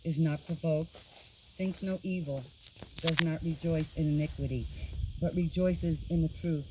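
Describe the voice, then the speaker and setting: A woman's voice: deep voice, fairly even intonation, moderate pace, heavy background noise. Woman reading scripture outdoors. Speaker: wedding reader, aunt and godmother. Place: outdoor wedding. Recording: loud outdoor crickets behind.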